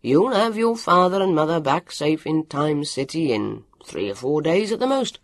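A narrator voicing a brisk, reassuring man of the Time Patrol in an audiobook, with a hearty, breezily official manner. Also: medium pitch, very animated, no echo.

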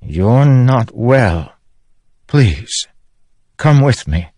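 A man's voice: medium pitch, big pitch swings, drawn-out words, darkish tone, no room sound, clean studio sound, pitched above the performer's own voice, heavily acted. A man voicing a troubled young king who speaks in a strained, pleading way. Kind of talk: audiobook character voice.

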